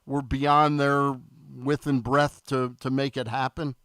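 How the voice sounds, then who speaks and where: A man's voice: medium pitch, level tone, slow, fairly bright tone, clear, resonant tone, no echo, clean studio sound. A man co-hosting a radio show, asking plain, probing questions in a casual way. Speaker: radio co-host.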